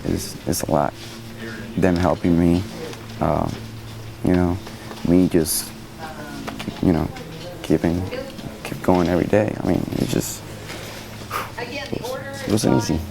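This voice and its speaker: A man's voice: low voice, big pitch swings, slow, creaky, long pauses, crowd noise. A young man from Cuba with a Cuban Spanish accent, a student interviewed, speaking hesitantly with many fillers. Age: young adult.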